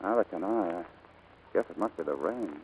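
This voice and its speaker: A man's voice: low voice, normal speed, slight background noise, lightly acted, rough recording. An actor playing a devoted, earnest male pilot in love, in 1940s radio drama style. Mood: quiet, wistful. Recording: vintage 1940s radio recording, faint music.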